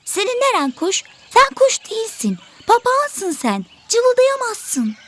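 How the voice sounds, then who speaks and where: Super high snooty selfish cartoon birdie, boastful, swoopy pitch. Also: female voice, wildly swooping pitch, slow, background noise, heavily acted. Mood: scornful. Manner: boastful, dismissive, argumentative. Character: selfish, vain, argumentative little songbird. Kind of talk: children's educational cartoon acting.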